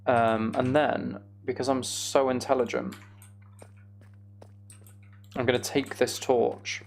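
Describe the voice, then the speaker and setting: A man's voice: mid-range voice, level tone, slow, gravelly, slight background noise. A man giving casual, self-deprecating gameplay commentary, musing aloud as he goes. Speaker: gaming video host.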